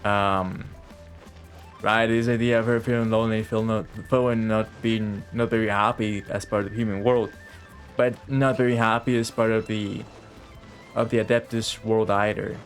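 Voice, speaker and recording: A man giving casual live commentary as a game streamer, halting and often repeating his phrases. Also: fairly low pitch, little pitch movement. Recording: game music behind the voice.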